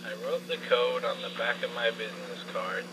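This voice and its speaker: A man's voice: medium pitch, fairly even intonation, normal speed, lightly acted. An actor playing a helpful, easygoing tradesman leaving a casual voicemail in a game. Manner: casual, obliging. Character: helpful, easygoing tradesman, a security door installer. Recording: noisy, humming answering machine message.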